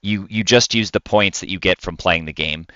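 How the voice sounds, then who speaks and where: A man's voice: low voice, normal speed, pushed and bright, clean tone, dry recording, highs cut off. A man talking casually and enthusiastically, in an explanatory way, as a podcast co-host on video games.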